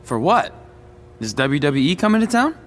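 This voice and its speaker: A man's voice: a little high, very animated, moderate pace. An actor playing a cocky, wisecracking young male wrestling trainee in video game dialogue. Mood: indignant, eager. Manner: flippant, wisecracking.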